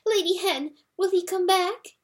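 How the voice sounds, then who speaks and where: A woman's voice: very high voice, unhurried, voice pushed up. A woman doing frightened little mice. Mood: pleading. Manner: anxious, pleading. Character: small frightened mice, childlike and pleading.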